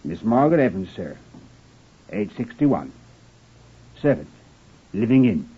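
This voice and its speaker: An actor playing a plain-spoken village chief constable with a British accent, matter-of-fact and deferential, in an old radio drama. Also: male voice, fairly low pitch, very animated, measured pace, husky, exaggerated voice. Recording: dull, faintly noisy old radio recording.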